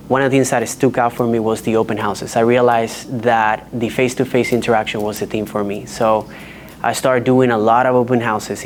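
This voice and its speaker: A man from the Dominican Republic, a real estate agent speaking on a panel and telling his career story in an earnest, measured way. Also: mid-range voice, slight background noise. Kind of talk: panel discussion.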